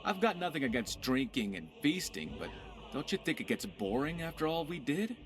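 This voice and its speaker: An actor playing a dashing, playful pirate rogue in a video game, wry, boastful and theatrical. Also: male voice, fairly high pitch, big pitch swings, moderate pace. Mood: restless, wry. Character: dashing cocky young male pirate rogue, playful and tender. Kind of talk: voiced game character dialogue.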